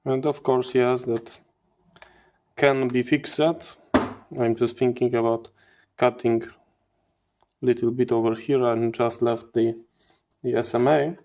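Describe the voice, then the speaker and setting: Slow, low-key man explaining hardware. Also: flat delivery, a little mellow, slight background noise. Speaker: host of a radio electronics channel. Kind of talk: hands-on teardown commentary.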